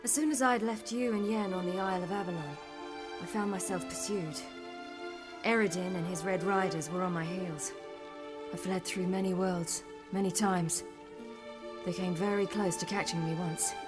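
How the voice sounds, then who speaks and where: An actress playing a guarded young woman recounting her flight to a companion in a video game, measured and matter-of-fact, with music under her voice. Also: on the low side, fairly even intonation, natural voice. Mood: calm. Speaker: game character. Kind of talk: recounting a story in dialogue. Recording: game audio over music.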